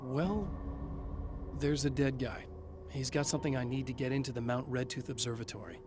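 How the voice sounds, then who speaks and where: A man's voice: mid-range voice, normal speed, background noise, lightly acted. A man playing a weary, serious writer turned hunted hero in a video game, speaking in a grave, matter-of-fact way. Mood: terse, businesslike. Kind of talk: video game acting, brooding monologue and terse dialogue.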